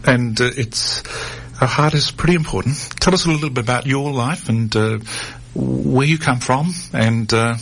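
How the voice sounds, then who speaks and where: A man's voice: medium pitch, moderate pace, vocal fry, hardly any pauses. A man hosting a community radio health show in a studio, talking with many fillers and restarts. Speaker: radio host.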